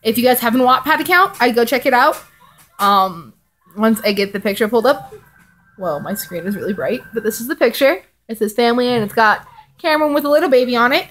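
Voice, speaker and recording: A woman's voice: fairly high pitch, lively intonation, a little fast, a bit bright, rough recording. A young woman vlogger chatting casually and reading fan fiction in a rambling, giggly way. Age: young adult. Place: living room indoors.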